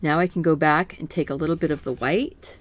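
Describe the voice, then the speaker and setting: A middle-aged woman teaching a live painting lesson in a calm, soothing voice, gently thinking aloud as she works. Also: low-pitched. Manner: slow, gentle, thinking aloud with small laughs. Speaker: art teacher.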